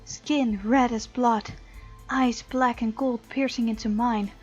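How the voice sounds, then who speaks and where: A woman narrates a visual novel as a gameplay host, expressive and playful, breaking into casual commentary. Her voice sits on the high side. The sound is a little lo-fi, with music underneath. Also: takes it slowly. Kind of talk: story narration with playful let's play commentary. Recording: dull, lo-fi sound with music behind.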